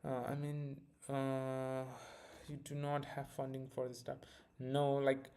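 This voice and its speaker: A man's voice: mid-range voice, completely flat delivery, slow, darkish tone. Casual man with an Indian accent. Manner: loose, filler-heavy, restarts often. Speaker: live-stream host. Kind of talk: casual live-stream chat and product demo.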